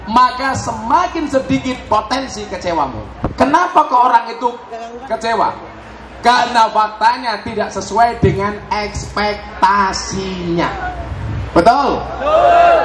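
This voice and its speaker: A man with a Javanese accent preaching a humorous sermon to a live crowd, teasing them and mixing in Javanese slang. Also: extremely high pitch. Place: large event hall with live audience. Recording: echoey hall, loud crowd noise.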